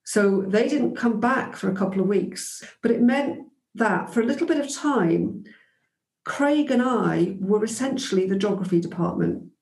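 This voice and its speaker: Woman, interview guest, reflective, hesitant ums, middle pitch, echoey room. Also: expressive, moderate pace, vocal fry, a little mellow. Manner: thoughtful, frequent ums and restarts. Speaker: interview guest, former geography teacher. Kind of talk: reflective conversational storytelling.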